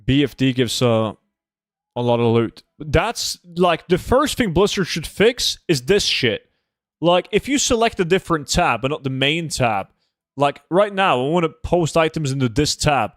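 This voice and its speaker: A man's voice: a little high, sing-song, unhurried, no room sound, studio quality. A man from Norway, chatting casually and bluntly as he livestreams a game, swearing freely. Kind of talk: casual livestream chat while gaming.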